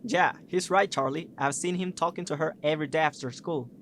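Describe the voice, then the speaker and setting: Casting: high school boy sidekick played by a man, slightly high voice, audio drama, faint ambience. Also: a little fast, fairly bright tone, slight background noise. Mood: matter-of-fact. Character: laid-back teenage boy backing up his friend.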